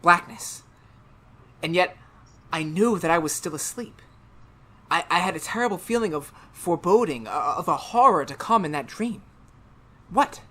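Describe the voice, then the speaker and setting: Haunted, sharp-edged radio drama protagonist played by a man. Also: sits high, expressive, exaggerated voice. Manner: intense, melodramatic, halting. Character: tormented male biology teacher, haunted and anxious. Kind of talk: 1930s radio drama acting.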